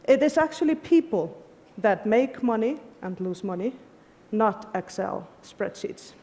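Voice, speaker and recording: A woman's voice: mid-range voice, animated, a little slow, warm tone. A woman, giving an earnest, emphatic prepared talk on stage. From Iceland. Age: 40s. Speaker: conference speaker. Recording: long hall reverb.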